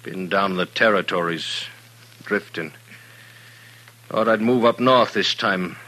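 Bright, forceful rustic American drifter. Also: male voice, sits low, takes it slowly, full character voice. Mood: wistful. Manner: folksy rustic speech, wistful and inquisitive. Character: rough, weary, lonesome male drifter of the Old West. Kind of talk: old-time radio western acting. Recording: noisy old radio recording with clicks.

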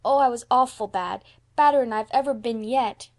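A woman's voice: on the high side, moderate pace, pitched above the performer's own voice, natural voice. A woman voicing a small mischievous boy in an audiobook, earnest, guilty and blunt, with childish, emphatic grammar slips. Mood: miserable, pleading. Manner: childish ungrammatical speech, emphatic. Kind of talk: audiobook character voice.

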